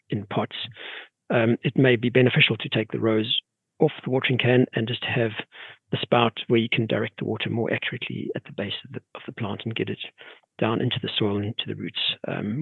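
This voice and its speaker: A middle-aged man from South Africa with a South African accent, a guest expert talking in a measured, halting way with many restarts. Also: mid-range voice, steady tone, gravelly, slightly rough, dry recording. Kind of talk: casual podcast interview. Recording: tinny phone-like remote line.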